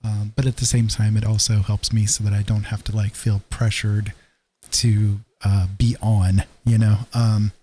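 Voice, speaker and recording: A man with a low, soft-edged, relaxed voice hosts a casual livestream. He talks in a halting, low-key way, rambling along without any push or projection. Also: a little hiss or hum. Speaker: livestream host.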